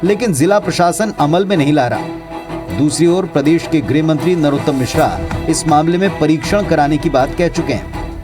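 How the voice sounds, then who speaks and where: A man's voice: a little high, moderate pace. A man with an Indian accent narrating a scripted news report as a reporter. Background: music bed.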